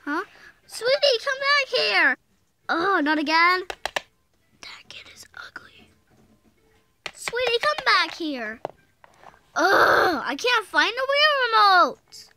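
A woman's voice: extremely high pitch, big pitch swings, takes it slowly, long pauses, bright, forceful tone, a little hiss or hum. A young girl voicing toy pets in a homemade skit on the floor, switching between a scolding mother and a whining child. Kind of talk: toy figure skit with many voices. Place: indoors at home, on a wooden floor. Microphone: phone camera held close to toys.

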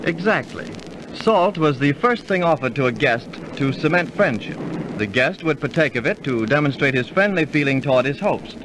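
Man as animated, knowing 1930s radio storyteller. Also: a little high, moderate pace, lightly acted. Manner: formal, explanatory. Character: knowing, instructive male storyteller and dining companion. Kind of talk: 1930s radio narration and acting. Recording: slightly noisy 1930s radio recording.

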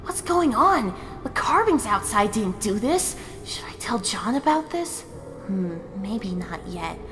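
A woman's voice: mid-range voice, very animated, unhurried, fairly bright tone, in a large hall, soft background music. A woman voicing a stern, curious and bossy fox researcher in a scripted comic dub. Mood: startled curiosity. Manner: firm, bossy.

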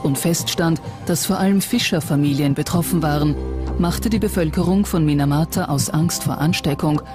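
A documentary narrator reading a script in a measured, serious manner. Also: mid-range voice. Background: music under the voice.

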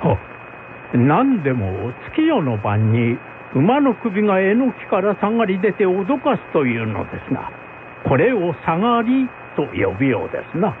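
A man's voice: sits high, big pitch swings, moderate pace, pitched above the performer's own voice. A man voicing a respectful, well-informed samurai retainer who explains in formal old-fashioned speech in a folk tale drama. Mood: deferential. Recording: phone-like band with faint noise.

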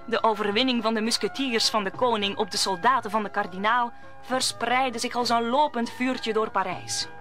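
A woman's voice: on the high side, normal speed, a bit bright, soft background music. A woman narrating a radio play as a scripted story.

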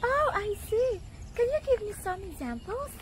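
A woman voicing a cheerful, friendly small animal puppet with a squeaky, cutesy delivery in a scripted educational show. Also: big pitch swings, moderate pace, a little hiss or hum. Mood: friendly, explaining. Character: cheerful young female rabbit and mouse puppets, friendly and eager.

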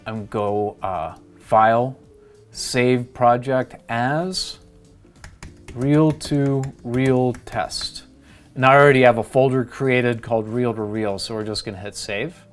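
A man's voice: medium pitch, steady tone, slow pace. A middle-aged man hosting a how-to demonstration, explaining each step in a plain, methodical way. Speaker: tutorial host.

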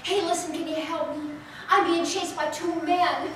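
A mysterious young woman in trouble, urgent and pleading, straight out of a 1940s radio serial, as an actress plays her. Her voice is fairly high and comes over echoey and distant in a mansion room. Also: fairly even intonation, moderate pace, fairly bright tone, slight background noise. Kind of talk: 1940s radio acting, live.

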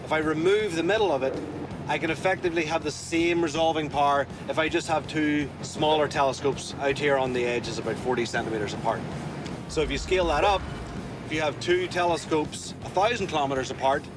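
A man's voice: on the high side, fairly even intonation, brisk, background noise. A man, presenting and explaining science in plain terms. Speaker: presenter and truck driver. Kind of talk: explaining science to camera and passenger.

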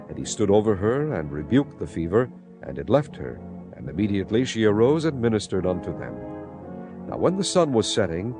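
A man narrating dramatized scripture as a solemn, measured storyteller. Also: deep voice. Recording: clear music bed behind narration. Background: music.

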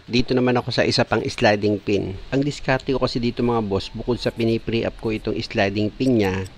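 A man with a fairly low voice walks viewers through a brake job like a patient workshop mechanic. He explains each step plainly and keeps addressing his audience directly. A faint hum of workshop ambience sits under his voice. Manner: explanatory, calls viewers "mga boss". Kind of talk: DIY repair tutorial voice-over.

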